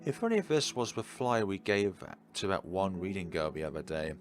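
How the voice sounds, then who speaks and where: Low voiced guy reading a visual novel out loud, really animated, bit theatrical, game music behind him. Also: moderate pace. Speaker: video game playthrough host.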